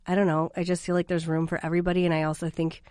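A woman's voice: lowish voice, flat delivery, racing, no echo. A woman in her thirties hosting a podcast in a studio, chatty and gushing, still sick with a lingering cough. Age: 30s. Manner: chatty, laughs often, warm and gushing toward her friend. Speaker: podcast host. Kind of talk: casual chat. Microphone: studio mic.

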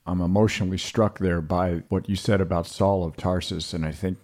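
A man's voice: low-pitched, steady tone, brisk, darkish tone. A man hosting a podcast interview, speaking in a reflective, appreciative way. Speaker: podcast host.

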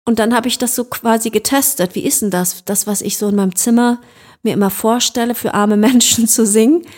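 A woman's voice: on the high side, fairly even intonation, brisk, darkish tone. A woman musician talking about her work as an interview guest, thoughtful and searching for words, with frequent fillers and restarts. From Germany. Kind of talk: reflective interview talk.

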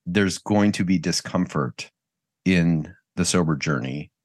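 A man's voice: sits low, unhurried, no room sound. A man co-hosting a podcast, talking candidly in casual chat, swearing freely and laughing often. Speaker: podcast co-host.

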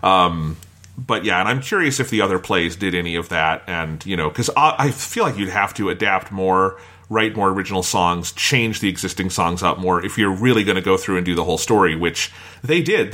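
A man's voice: sits low, animated, moderate pace, sharp tone. A man hosting a podcast, chatting informally and swearing casually. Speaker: podcast host.

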